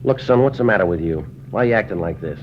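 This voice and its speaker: A man playing a stern, matter-of-fact police sergeant in a 1950s radio drama, clipped and deadpan with an American accent. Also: fairly low pitch, quick pace, slight background noise, close to an everyday voice. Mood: stern lecturing. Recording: muffled vintage radio recording.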